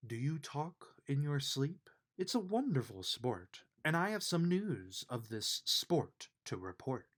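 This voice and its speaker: Slow, lively man reading a bedtime storybook. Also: medium pitch. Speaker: reader of a children's book. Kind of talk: read-aloud of a rhyming bedtime storybook.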